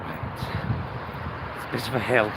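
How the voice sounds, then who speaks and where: A man's voice: lowish voice, a little slow. A man thinking aloud in a rambling, casual vlog, recorded on a phone while walking outdoors. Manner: rambling, musing, talking to himself with rhetorical questions. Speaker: vlogger. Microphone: phone on a selfie stick. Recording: loud wind and traffic noise. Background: cars, wind, urban outdoor noise.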